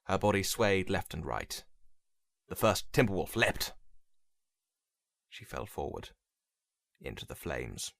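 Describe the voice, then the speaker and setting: Man, dramatic story narrator, deep voice, creaky fry, measured and brooding. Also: sing-song, fairly bright tone.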